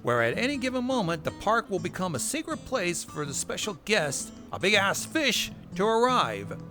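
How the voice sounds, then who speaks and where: A man's voice: high voice, very animated, moderate pace. A man hosting a narrated recap, with wry asides and casual swearing. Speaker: video host. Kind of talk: narrated recap of horror web series. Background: music bed.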